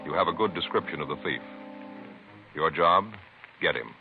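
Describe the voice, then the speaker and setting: A man's voice: rumbling low, expressive, normal speed, over music. An American man doing dramatic, scripted announcing for a 1950s radio program. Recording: narrow, muffled 1950s radio recording.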